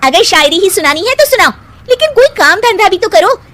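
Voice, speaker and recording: Woman voicing a young cartoon wife, very high pitch, bright and sharp, nagging. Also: sing-song, brisk, exaggerated voice. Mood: scolding. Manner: scolding, nagging. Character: young wife, sharp-tongued and exasperated with her idle husband. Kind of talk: cartoon voice acting.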